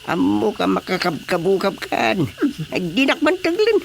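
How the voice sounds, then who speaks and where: An excitable comic glutton in an Ilocano radio drama. The mid-pitched voice stammers and gets flustered. Clear noise sits behind it. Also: normal speed. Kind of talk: Filipino radio drama comedy acting. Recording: noisy radio drama mix.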